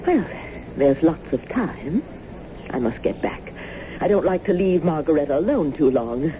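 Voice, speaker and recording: A woman's voice: low-pitched, lively intonation, a little slow. An actress playing a genteel, eccentric lady landowner in a radio drama, speaking with effusive, old-fashioned formality. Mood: gracious, effusive. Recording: dull, noisy old radio broadcast.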